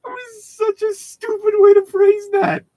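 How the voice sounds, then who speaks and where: A man's voice: extremely high pitch, steady tone, a little slow, dark tone, no room sound, raised voice. A man reading fiction aloud and mocking it with profane, incredulous, joking commentary. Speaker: host of a fanfiction reading show.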